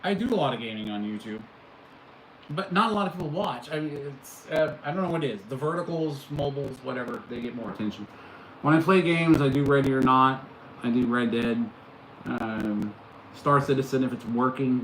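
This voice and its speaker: A middle-aged man hosting a casual live stream, chatting with viewers and reacting to their comments with amused disbelief. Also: medium pitch. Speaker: livestream host. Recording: echoey room, faint background noise.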